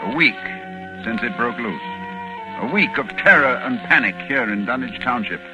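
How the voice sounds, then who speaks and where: A man's voice: mid-range voice, lively intonation, moderate pace, close to an everyday voice, poor audio. A grave man of learning played by a male radio actor, speaking with urgent dread in vintage 1940s style. His delivery is theatrical, rising and falling dramatically, on an old broadcast recording with music. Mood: grave foreboding. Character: grave, anxious scholarly doctor battling a monster.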